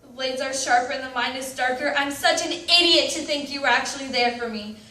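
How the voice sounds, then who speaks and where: Woman performing an anguished, high-voiced teenager in a roomy space. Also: fairly quick, bright, forceful tone. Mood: bitter, despairing. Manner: dramatic, emphatic delivery. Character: anguished, anxious, self-loathing teenager writing confessional letters. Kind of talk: readers theatre monologue. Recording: roomy, distant mic with background noise.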